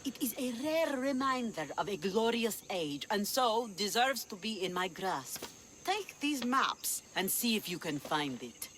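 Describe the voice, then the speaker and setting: An actress playing a proud, commanding pirate leader in a video game, speaking formally and imperiously. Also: female voice, mid-range voice, very animated, measured pace, fairly bright tone. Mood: proud, acquisitive. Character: commanding, proud female pirate leader who covets treasures. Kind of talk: video game cutscene dialogue acting.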